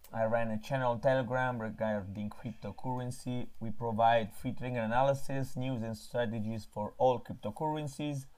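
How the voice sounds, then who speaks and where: A man from Italy with an Italian accent, hosting a casual live stream about crypto trading and pausing often to fill gaps. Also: medium pitch. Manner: halting, frequent filler pauses. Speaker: crypto trading channel host.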